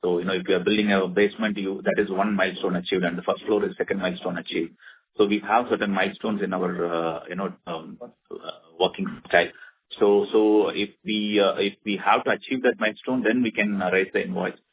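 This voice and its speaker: A man's voice: low voice, steady tone, brisk, slightly rough. A man with an Indian accent speaking as a company executive on an earnings call, over a narrow phone line. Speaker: company executive presenting results. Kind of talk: earnings call presentation and answers. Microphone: telephone conference call.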